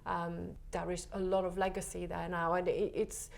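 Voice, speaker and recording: A woman from the Republic, a photographer guest talking in a relaxed interview, soft and hesitant with many pauses. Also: lowish voice, gravelly. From Czech Republic.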